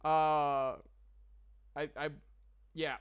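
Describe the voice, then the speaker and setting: A man's voice: on the high side, little pitch movement, extremely slow, clean tone, muffled recording. A man casually narrating and refereeing a tabletop game as game master, with a self-conscious, apologetic manner.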